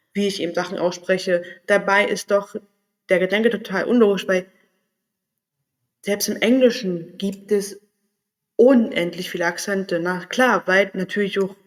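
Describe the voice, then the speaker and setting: A man talking candidly in a personal vlog, thinking aloud and searching for words as he goes. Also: high-pitched. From Berlin area, Germany. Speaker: vlogger. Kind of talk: personal reflective monologue.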